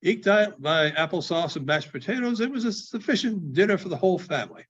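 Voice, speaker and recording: A man reading a classic story aloud in a theatrical, deliberate manner with dramatic pauses. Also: high-pitched, animated, a bit bright, a little hiss or hum. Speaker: librarian giving a public reading. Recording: dull, muffled lo-fi recording.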